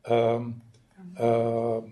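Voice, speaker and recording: An older man from northeast England, giving a measured, emphatic academic lecture and often clearing his throat. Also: mid-range voice, monotone, dark tone. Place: lecture hall.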